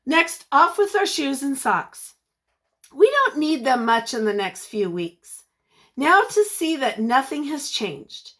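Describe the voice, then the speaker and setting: A woman reading a picture book aloud as a teacher, expressive and encouraging, pausing to put questions to young listeners. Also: high-pitched.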